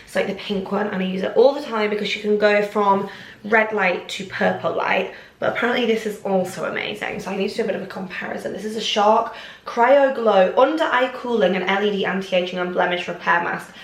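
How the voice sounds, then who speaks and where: A chatty young woman from England talks to camera in her kitchen, rambling happily with lots of little asides. Her voice sits at an ordinary middle pitch and sounds a bit snuffly. The room around her rings with echo. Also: steady tone, a little fast. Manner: bubbly, rambling, lots of "like" and "oh my gosh". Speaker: vlogger. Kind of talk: casual chatty vlog to camera. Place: home kitchen, indoors. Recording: echoey room.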